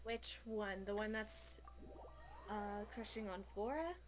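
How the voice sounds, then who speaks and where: A woman chatting casually as a game stream companion, in a slightly halting way. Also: mid-range voice, a little hiss or hum. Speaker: stream co-commentator, friend. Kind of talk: casual chat over gameplay.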